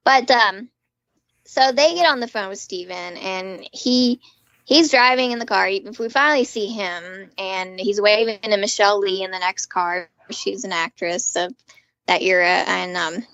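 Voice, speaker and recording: A woman's voice: medium pitch, unhurried, fairly bright tone, dry recording, lo-fi sound. A woman co-hosting a podcast, recapping casually as an enthusiastic fan with rambling asides. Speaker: podcast co-host. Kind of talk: casual chatty episode recap.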